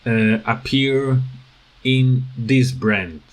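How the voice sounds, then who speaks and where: A man with a command of talks through his opinions in an informal, unscripted rant. His delivery is slow and halting, with repeated words as he searches for the next phrase. His pitch sits in an ordinary middle range for a man. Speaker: video creator giving commentary.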